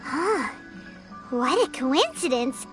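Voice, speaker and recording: A woman voicing a small, excitable, childlike fairy companion in a video game, squeaky and naive. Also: slow, full character voice. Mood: puzzled, uneasy. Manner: exclamatory, naive, refers to herself in third person. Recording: music behind the voice.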